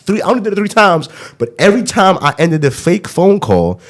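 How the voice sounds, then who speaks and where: A man's voice: sits high, very animated, brisk. A young man hosting a podcast in casual chat, telling loose stories and often checking in with "you know what I mean". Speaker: podcast host.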